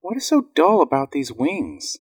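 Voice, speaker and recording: A woman voicing a gentle, earnest young male moth in a storybook, soft-spoken and wistful. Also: low-pitched, wildly swooping pitch, normal speed, husky, no room sound, studio quality, voice pushed down, full character voice. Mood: wondering.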